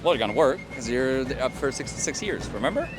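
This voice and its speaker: A man plays a reenactment captain, walking a recruit through drill with good-humoured commands. His voice swings lively up and down. Music plays clearly behind him outdoors. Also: mid-range voice, fast talker. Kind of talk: instructing a recruit in character. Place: outdoors at a historic site in a city.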